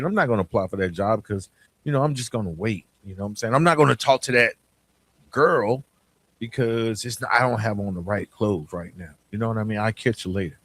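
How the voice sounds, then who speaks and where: A man's voice: mid-range voice, fairly bright tone, no room sound. A man hosting a podcast, talking conversationally and motivationally in a reflective, emphatic way, often checking in with the listener. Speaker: podcast host.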